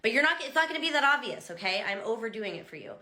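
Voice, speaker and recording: A woman's voice: a little high, very animated, very fast, bright, forceful tone, roomy sound. A chatty American woman and language teacher, explaining things casually and exaggerating sounds to demonstrate them. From United States. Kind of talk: casual talking-head explainer.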